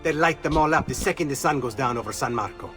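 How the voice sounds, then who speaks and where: A man's voice: medium pitch, fast talker, fairly bright tone, soft background music, lightly acted. An actor voicing a commanding male thief leader in a video game, always pressing for speed. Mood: urgent. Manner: urgent, commanding. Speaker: game character.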